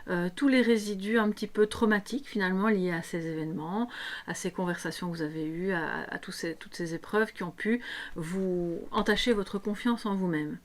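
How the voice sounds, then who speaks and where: A slightly hoarse woman hosting a tarot guidance video, speaking unscripted to her viewers in an explanatory way and often checking in with them. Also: fairly low pitch.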